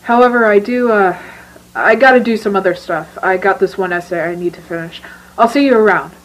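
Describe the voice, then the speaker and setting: A woman's voice: medium pitch, fairly quick, natural voice, rough recording. A woman playing a casual, reassuring young student talking to a friend.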